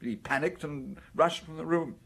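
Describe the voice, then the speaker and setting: A husky, cowardly, pleading suspect. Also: male voice, a little high, normal speed, a bit bright, heavily acted. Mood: frightened, confessing. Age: middle-aged. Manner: stammering, self-pitying, evasive. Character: wretched, cowardly, pleading middle-aged male suspect. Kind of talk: radio drama acting.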